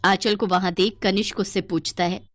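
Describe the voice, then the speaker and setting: A woman's voice: mid-range voice, fairly even intonation, normal speed, no room sound. A woman storyteller giving dramatic scripted narration for a cartoon tale.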